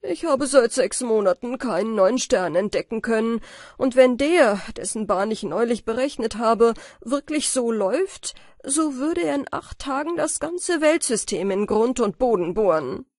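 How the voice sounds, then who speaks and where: A woman's voice: on the high side, lively intonation, unhurried, darkish tone, no room sound, studio quality. A woman voicing an aggrieved male court astronomer in a storybook, declaiming his plea to the king. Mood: indignant, pleading.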